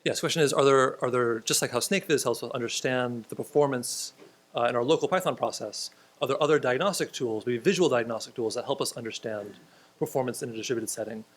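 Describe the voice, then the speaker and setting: A man's voice: mid-range voice, fast. A man with an ordinary mid-range voice leads a hands-on programming tutorial at a conference. He explains ideas step by step and restates the audience's questions before answering them. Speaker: tutorial instructor.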